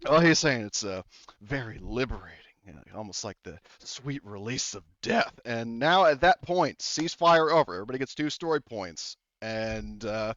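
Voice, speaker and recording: A man's voice: medium pitch, expressive, moderate pace, fairly bright tone, dry recording. Game master: man, casual rambling narration, dull lo-fi dry sound. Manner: casual, rambling, many fillers and laughs.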